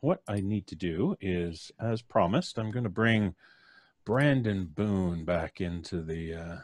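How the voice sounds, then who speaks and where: A man's voice: low-pitched, expressive, slow pace, a little mellow, dry recording. A man hosting a live online show, talking informally to his audience. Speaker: host and performer.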